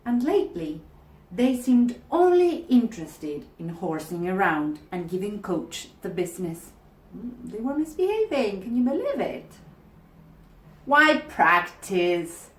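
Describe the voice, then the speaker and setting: A woman narrates a children's storybook in a roomy, echoing space. Her delivery is slow and deliberate, with animated, expressive pitch swings. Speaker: storybook reader. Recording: echoey room.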